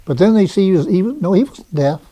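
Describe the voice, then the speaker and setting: A man's voice: high voice, lively intonation, fast talker, mellow. An older man telling a rambling, plain oral history recollection in an interview at home. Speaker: interviewee recalling local memories.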